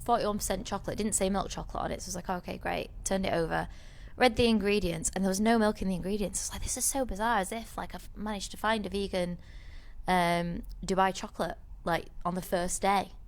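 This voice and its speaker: A woman's voice: mid-range voice, fairly even intonation, fast, vocal fry. A woman co-hosting a podcast, telling a rambling story in casual chat, with many restarts. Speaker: podcast co-host.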